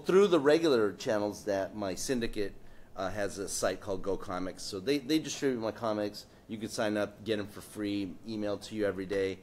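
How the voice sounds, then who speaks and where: A man's voice: lowish voice, darkish tone, a little hiss or hum. A man speaking on a live panel, rambling with many fillers and restarts and joking often. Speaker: panelist, political cartoonist. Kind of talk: casual panel talk. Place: event space with audience.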